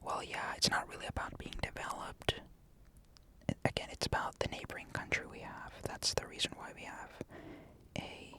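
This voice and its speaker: A young man from Finland with a Finnish accent, answering comments in a casual, matter-of-fact ASMR whisper. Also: normal speed. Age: young adult. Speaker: ASMR creator. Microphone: USB condenser mic.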